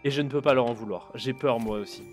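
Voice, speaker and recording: A man's voice: mid-range voice, quick pace, soft background music. A man streaming a video game, joking casually and reading in-game text aloud. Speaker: gaming streamer. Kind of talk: casual let's-play commentary.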